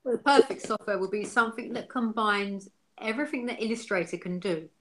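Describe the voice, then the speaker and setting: A woman's voice: mid-range voice, fairly quick, fairly bright tone. A woman from the UK, a designer, talking frankly in a casual interview, full of fillers and restarts. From United Kingdom. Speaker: designer interviewed as software user.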